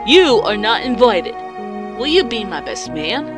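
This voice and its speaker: A woman's voice: a little high, sing-song, a little slow, music in the background. A woman voicing a smug, teasing cartoon skeleton brother with dramatic delivery in a fan comic dub.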